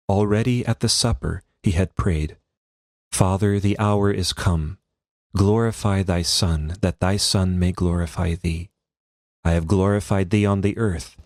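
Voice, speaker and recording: A man reading a religious text aloud as a priest, in a solemn, measured and formal narrating style. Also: low-pitched, darkish tone, dry recording, clean studio sound. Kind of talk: solemn scripted devotional narration.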